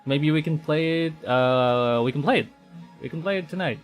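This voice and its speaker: A man's voice: fairly high pitch, normal speed. A man from the Philippines hosting a livestream in casual chat, speaking hesitantly and often repeating and rephrasing his phrases. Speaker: VTuber livestream host. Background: music.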